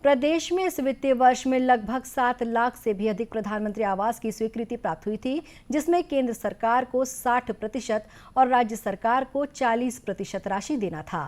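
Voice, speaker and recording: A woman reading a scripted news bulletin in a formal, measured manner. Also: on the high side, darkish tone. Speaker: news reader.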